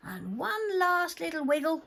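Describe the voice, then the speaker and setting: A woman reading a picture book aloud to young children, expressively, with dramatic pauses and hushed phrases. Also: extremely high pitch, very animated, vocal fry. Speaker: children's story reader.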